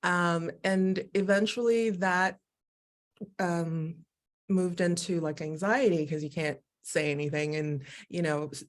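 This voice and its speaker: A woman with a fairly low voice talks candidly as a guest on a live video call. She is animated and expressive and laughs easily as she tells her own story. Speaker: guest, Human Design educator. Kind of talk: candid personal conversation.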